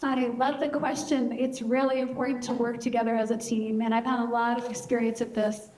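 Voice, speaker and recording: امرأة مرشحة تتحدث بجدية في قاعة ذات صدى. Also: female voice, fairly high pitch, flat delivery, a little fast, a little mellow, reverberant, a little hiss or hum. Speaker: county commission candidate, former mayor. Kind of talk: debate answers.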